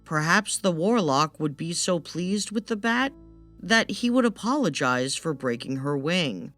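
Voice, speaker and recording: A woman's voice: lowish voice, lively intonation, measured pace, a bit bright, faint music behind. A woman narrating a scripted fairytale as a storyteller.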